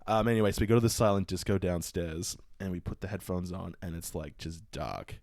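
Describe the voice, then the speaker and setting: Low-voiced guy telling sweary comic stories. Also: brisk, a little mellow, dry recording. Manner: sweary, slangy, acts out quotes in mock outrage. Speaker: comedy podcast host. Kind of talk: casual chat, comic storytelling.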